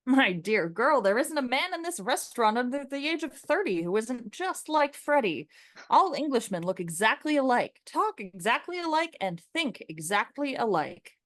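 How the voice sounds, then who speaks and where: A woman's voice: on the high side, very animated, normal speed, no echo. A woman playing an opinionated, sardonic young man in a radio play, lecturing in an emphatic, mocking way. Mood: scornful lecturing.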